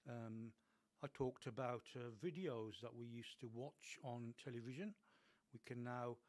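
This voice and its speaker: A man's voice: medium pitch, fairly even intonation, unhurried, darkish tone. A male radio presenter with a slightly dry voice, talking unscripted with frequent ums and uhs. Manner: hesitant, many ums and uhs. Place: radio studio.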